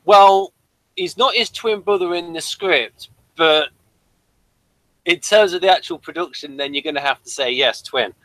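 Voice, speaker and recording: A man's voice: a little high, measured pace, pushed and bright, no echo, low-quality recording. A man hosting a casual, playful chat review of a TV episode. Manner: playful, bantering. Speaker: podcast host.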